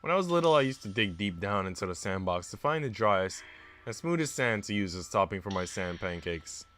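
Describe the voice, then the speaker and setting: Male streamer, low pitch, smooth clean voice, casual, informal, conversational. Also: lively intonation, a little fast, fairly bright tone, faint music behind. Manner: informal, slangy, responding to chat comments. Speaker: live streamer. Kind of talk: casual stream chat mixed with reading a visual novel aloud.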